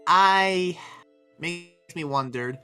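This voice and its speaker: An earnest, conflicted demon slayer played by a roleplayer in an anime game roleplay, with a very bright, forward voice at a middle pitch. The talk rambles and hesitates as it reasons things out. Music plays behind. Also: drawn-out words, smooth. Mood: exasperated. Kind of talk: improvised Minecraft anime roleplay.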